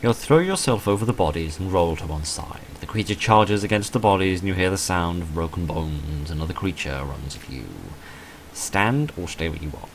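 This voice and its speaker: A man's voice: deep voice, animated, normal speed, rough recording. A man reading visual novel text aloud in a dramatic storytelling way, with dry, casual asides as he plays. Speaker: gamer narrating a playthrough. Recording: noticeable background noise.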